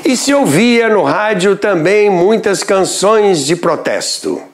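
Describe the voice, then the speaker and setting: A Brazilian man narrates radio history from a script. His voice sits a little high and moves with lively, expressive swings in pitch. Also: slow pace, loud music behind the voice. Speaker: documentary narrator.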